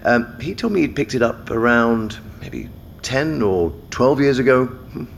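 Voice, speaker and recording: A man's voice: mid-range voice, animated, gravelly, slight background noise. An actor with an English accent playing a courteous, hesitant male collector in a naturalistic audio drama. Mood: politely bargaining. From England. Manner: hesitant, halting, polite.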